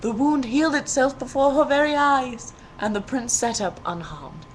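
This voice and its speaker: A woman's voice: high voice, normal speed, a little hiss or hum, poor audio. A woman storyteller reading a fairy tale aloud in a playful, theatrical way, with casual humor.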